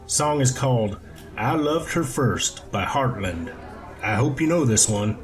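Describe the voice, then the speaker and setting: A man acts out a happy extrovert from a story, chatting with strangers at a bar. The manner is chummy and joking, at an ordinary male pitch. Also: animated, measured pace, close to an everyday voice. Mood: jovial.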